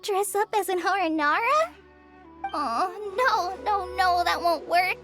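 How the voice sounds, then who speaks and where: A woman's voice: very high voice, lively intonation, slow, exaggerated voice. A woman voicing a shy, anxious young forest ranger in a video game, girlish, hesitant and easily flustered. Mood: fretting. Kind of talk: video game character acting. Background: background music.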